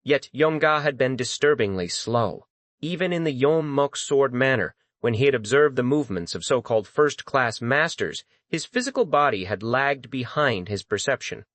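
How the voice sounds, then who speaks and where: A man's voice: mid-range voice, animated, normal speed, a bit bright, no room sound. A man reading dramatic scripted fantasy narration as an audiobook narrator.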